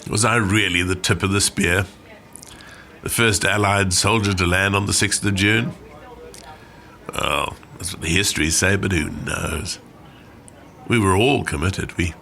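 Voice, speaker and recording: A man's voice: sits low, creaky, sharp tone, heavily acted. An actor playing a modest, wry British airborne veteran telling his war story in understated, clipped phrases for a radio play. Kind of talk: dramatic monologue for a radio play.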